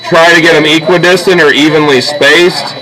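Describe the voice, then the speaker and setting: Guy teaching art class, kinda higher bright voice, patient, step by step, keeps checking in with the students. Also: steady tone, normal speed, low-quality recording. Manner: patient, checks in often with "okay?" and "all right?". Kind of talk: step-by-step classroom drawing instruction.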